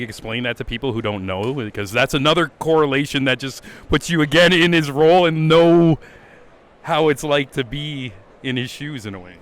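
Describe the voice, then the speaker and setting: A man interviewing a guest, speaking softly and encouraging him with short agreements and easy laughs. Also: medium pitch, clear, resonant tone. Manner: soft-spoken, encouraging backchannels and laughs. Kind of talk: casual interview.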